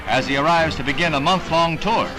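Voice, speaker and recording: High-pitched American newsreel announcer man. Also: fairly quick, rough recording. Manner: dramatic, formal announcer delivery. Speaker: newsreel narrator. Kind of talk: 1960s newsreel narration, scripted. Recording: vintage newsreel soundtrack, loud music behind.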